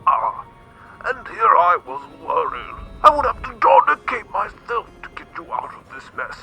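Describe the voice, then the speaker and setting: An actor playing a formal, sarcastic, loyal butler in a radio drama. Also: male voice, very high voice, wildly swooping pitch, moderate pace, vocal fry, pushed and bright, soft background music, exaggerated voice, poor audio. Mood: sardonic. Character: dry, sardonic, loyal male butler.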